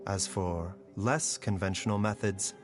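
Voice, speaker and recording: A man playing a calm, courteous doctor in a fantasy game, soft-spoken and formal, with a gentle, coolly curious manner. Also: low-pitched, measured pace, soft background music. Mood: solemn, reflective. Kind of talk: dubbed fantasy game voice acting.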